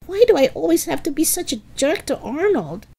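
A woman's voice: on the high side, animated, slow, a little mellow, voice pushed up. A woman voicing a bossy, dramatic young girl, brash and scornful, in a storybook reading. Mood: anguished self-reproach.

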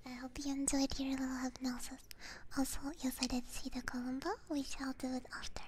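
A woman ASMR streamer speaking in a gentle, soothing, breathy whisper close to a binaural microphone, chatting unhurriedly to her viewers. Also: high voice, monotone, brisk, background noise. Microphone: close binaural ear microphone.

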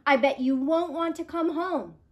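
A woman voicing a patient, reassuring mother in a storybook, gentle and encouraging. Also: sits high, moderate pace, lightly acted.

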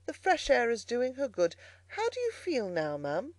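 A woman playing a courteous, kindly gentleman. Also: a little high, wildly swooping pitch, moderate pace, dry recording, voice pushed up. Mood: kindly concern. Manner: courteous, emphatic, proper. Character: kindly, genteel gentleman motorist, solicitous. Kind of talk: storybook character voicing.

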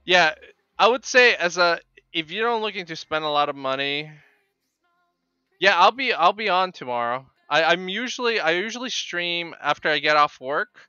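Bright-voiced Polish man streaming a game. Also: high voice, expressive, clear, resonant tone, no echo, soft background music. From Poland. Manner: halting, repeats words. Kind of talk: casual live chat with viewers while gaming. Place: small home room with bookshelves. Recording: dull, lo-fi microphone sound.

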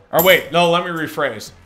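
Hoarse upbeat man hosting a stream. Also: fairly high pitch, pushed and bright. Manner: upbeat, chatty, greets viewers by name. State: hoarse, scratchy voice. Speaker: livestream host. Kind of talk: casual livestream chat. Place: indoors at a desk.

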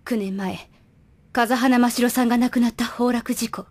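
Woman acting an earnest high-voiced teenage girl. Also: fairly even intonation, moderate pace, natural voice. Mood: grave. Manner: earnest, polite, grave. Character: serious, dutiful teenage girl agent, earnest and formal. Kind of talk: anime audio drama acting.

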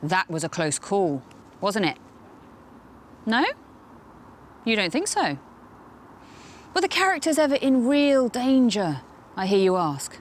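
A woman's voice: mid-range voice, sing-song, takes it slowly, long pauses, slight background noise. An actress in her thirties playing a wry, knowing presenter who speaks scripted lines with arch irony and pointed rhetorical questions. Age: 30s. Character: wry, knowing woman presenter with dark humour. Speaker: on-screen presenter of a safety training film. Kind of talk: scripted dramatic narration to camera. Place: outdoors on a railway platform and track.

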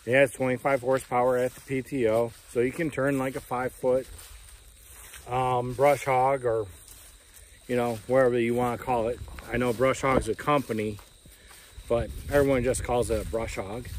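A man's voice: mid-range voice, flat delivery, normal speed. A man in his forties giving a rambling, folksy walkaround of a tractor, talking into a phone held at arm's length outside on a farm. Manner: rambling, folksy, with fillers like "uh" and "you know". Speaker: homesteading vlogger. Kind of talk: casual walkaround vlog. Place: outdoors on a rural farm lawn. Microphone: phone camera at arm's length.

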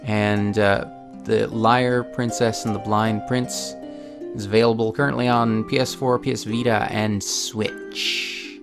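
Creaky-voiced man over game music. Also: lowish voice, flat delivery, slow pace. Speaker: let's play host. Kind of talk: video game commentary, reading story text aloud.

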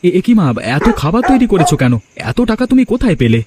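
Animated, rapid-talking young village son voiced by a man. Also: sits high, background noise. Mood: surprised. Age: young adult. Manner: animated, questioning, reassuring. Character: devoted young son, home from studies, protective. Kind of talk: Bengali fairy-tale cartoon dubbing. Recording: music behind the voice.

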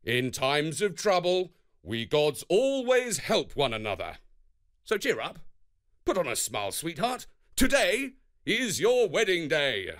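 A man voicing a brutish, bossy thunder god in a children's storybook, forceful and commanding. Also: fairly high pitch, huge pitch swings, unhurried, pitched above the performer's own voice, exaggerated voice.

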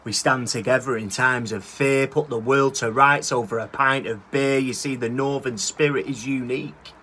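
A man's voice: medium pitch, fairly even intonation, normal speed, sharp tone, slight background noise. A man in his thirties with a Northern English accent, reciting his own spoken word poem in a rhythmic, cheeky style, filmed outdoors on a phone held at arm's length. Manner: rhythmic rhyming delivery, cheeky and swearing. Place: outdoors by a brick wall.

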